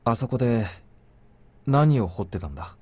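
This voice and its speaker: A man's voice: medium pitch, sing-song, quick pace, warm tone, breathy edge, dry recording. An actor playing a bored, cynical male protagonist in a visual novel game, with music underneath. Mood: curious.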